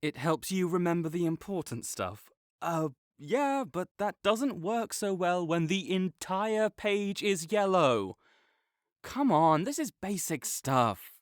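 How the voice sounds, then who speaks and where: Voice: mid-range voice, sing-song, slow pace, dry recording, studio quality. A performer voicing a smug sarcastic academic rival. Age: young adult. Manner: teasing, sarcastic, condescending. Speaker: audio roleplay performer. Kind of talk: scripted audio roleplay acting.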